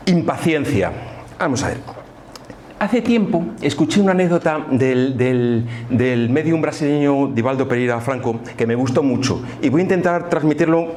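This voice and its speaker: A man with an accent from Spain gives an informal lecture, speaking conversationally and haltingly, often repeating words and adding rhetorical tags. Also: mid-range voice, darkish tone. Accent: Spanish, from Spain. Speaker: lecturer at a spiritist association. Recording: live talk with faint background room noise.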